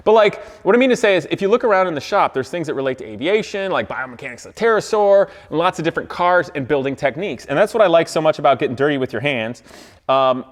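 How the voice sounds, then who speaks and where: A man hosting a car-building video, explaining the work casually and hands-on, with rambling colloquial asides. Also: medium pitch. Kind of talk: casual explanatory talk to camera and camera operator. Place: car workshop. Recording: echoey hall-like workshop reverb.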